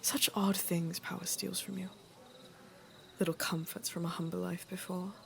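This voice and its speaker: A woman playing an aging fantasy queen in an audio drama, stately and measured, dryly witty, weary and fond. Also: lowish voice, flat delivery, vocal fry, background noise. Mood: weary, reflective.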